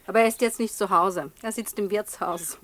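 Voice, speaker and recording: A woman's voice: mid-range voice, steady tone, a little fast, fairly bright tone, natural voice. An actress playing a matter-of-fact, observant village woman in a 1960s German radio play. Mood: pert.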